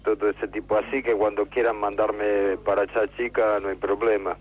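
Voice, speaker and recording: An actor playing a shady, evasive political fixer with a Buenos Aires accent, chummy and rambling in a radio comedy sketch. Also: male voice, on the low side, flat delivery, moderate pace, natural voice. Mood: sly, boastful. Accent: Argentine, Buenos Aires. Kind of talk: improvised radio sketch, mock interview. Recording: dull lo-fi phone line.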